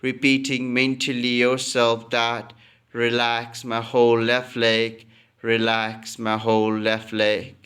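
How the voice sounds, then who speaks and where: A man leading a guided relaxation as a yoga teacher, calmly giving instructions and repeating phrases. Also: mid-range voice, slow, smooth.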